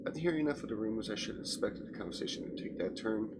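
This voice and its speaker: A man's voice: on the low side, lively intonation, very fast. A man reading visual novel narration aloud as a let's play host, stumbling over words and adding casual asides. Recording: loud background noise, game audio.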